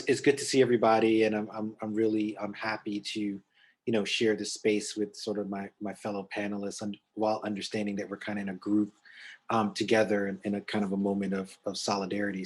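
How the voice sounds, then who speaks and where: Middle-aged New man, panelist on a video call, low husky even voice, halting. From United States. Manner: halting, many restarts and fillers.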